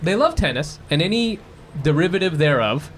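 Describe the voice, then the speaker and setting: Male podcast co-host, casual joking chat, slightly high voice. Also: sing-song, moderate pace, a bit bright. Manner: joking, laughs often. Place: live show with audience.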